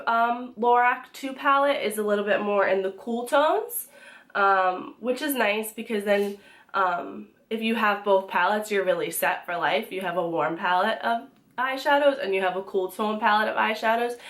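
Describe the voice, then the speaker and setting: Slightly hoarse young woman vlogging. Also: mid-range voice, moderate pace, fairly bright tone. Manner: chatty, with frequent "um" and restarts. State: hoarse from being sick. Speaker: beauty vlogger. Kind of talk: casual product review to camera.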